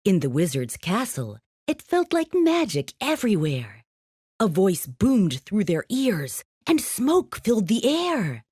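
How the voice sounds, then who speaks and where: A woman narrating a scripted rhyming children's story in an expressive, sing-song manner. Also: mid-range voice, slow pace, husky, no echo, studio quality.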